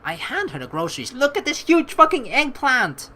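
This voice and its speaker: Animated woman doing a let's play. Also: high-pitched, normal speed. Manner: casual, playful, swears. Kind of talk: gaming commentary mixed with reading game narration aloud. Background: game music.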